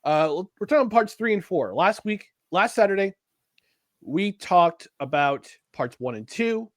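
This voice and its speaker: A man's voice: sits high, expressive, measured pace, no echo, clean studio sound. A young American man co-hosting a podcast, chatting casually with rambling, self-deprecating asides and frequent laughter. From United States. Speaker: podcast co-host. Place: upstate New York.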